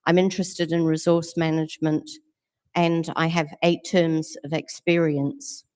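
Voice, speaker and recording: A middle-aged woman giving a steady, assured campaign closing statement as a council candidate. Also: low voice, unhurried, clear, resonant tone.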